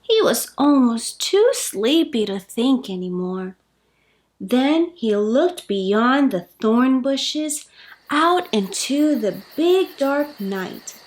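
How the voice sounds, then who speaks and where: A woman's voice: high voice, very animated, slow pace. A woman hosting a storytime for children, reading aloud expressively with sing-song emphasis.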